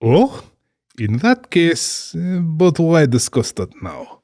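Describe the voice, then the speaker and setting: Suave, menacing aristocratic general, wildly expressive. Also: male voice, fairly high pitch, takes it slowly, no room sound, clean studio sound, exaggerated voice. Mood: gleeful, menacing. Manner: genteel, theatrical, condescending. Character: suave, cultured aristocratic general, genially menacing big-game hunter. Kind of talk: dramatized audiobook acting.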